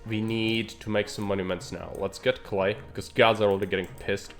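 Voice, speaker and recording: A man's voice: on the low side, fairly even intonation, fairly quick, soft background music. A man streaming live, thinking aloud in dry, blunt remarks as he plans a city build. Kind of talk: live gameplay commentary.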